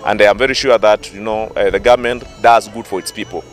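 A young man giving an outdoor press interview, speaking haltingly with many fillers into a handheld microphone. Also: fairly low pitch, steady tone, faint music behind. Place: outdoors in open field. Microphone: handheld press mic.

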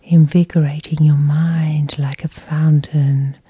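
Very breathy whispering woman. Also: sits low, flat delivery, slow pace, dry recording. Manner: whispering, gentle, soothing. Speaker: hypnosis guide, host. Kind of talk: whispered sleep hypnosis, ASMR guided meditation.

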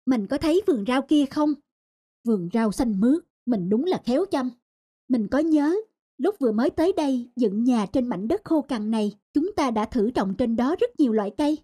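A woman voicing a gentle, patient young wife in a cartoon folk tale, speaking in soft, reassuring, measured phrases. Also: high-pitched, lively intonation, husky. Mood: tender, encouraging. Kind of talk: animated moral-tale voice acting.

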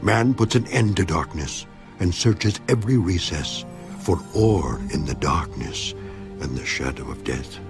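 Job, steadfast and deep-voiced. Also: male voice, expressive, measured pace, music in the background. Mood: solemn. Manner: solemn, declamatory, rising and falling emphasis. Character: Job, an aged, suffering, steadfast man. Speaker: voice actor in a Bible audio drama. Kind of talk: dramatized scripture reading.

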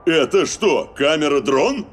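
A man's voice: a little high, expressive, a little slow, over music. A man playing an earnest, dramatic young football team captain in a cartoon. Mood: puzzled. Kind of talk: dubbed cartoon acting.

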